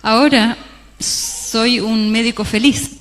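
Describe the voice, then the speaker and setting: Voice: medium pitch, fairly even intonation, slow, fairly bright tone. An interpreter renders a religious talk phrase by phrase, with small hesitations along the way. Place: large church hall. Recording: long hall reverb.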